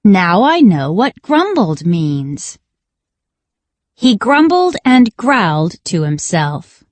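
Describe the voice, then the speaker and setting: A woman reading a scripted children's reading lesson in a deliberate, instructional manner. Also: medium pitch, sing-song, dry recording. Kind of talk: scripted educational read-along narration for children.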